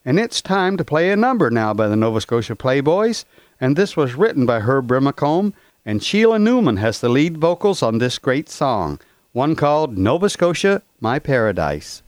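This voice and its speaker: A man's voice: mid-range voice, normal speed, no echo. Dry-voiced male radio host. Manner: steady, read-aloud delivery. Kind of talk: scripted radio announcing.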